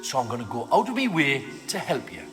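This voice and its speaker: An actor voicing a bitter, wry faceless undead mutant with a colloquial Geordie accent in a video game. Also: male voice, mid-range voice, sing-song, fast, lightly acted. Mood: wry, scheming. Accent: Geordie English. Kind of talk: video game cutscene acting. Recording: long reverb, music behind.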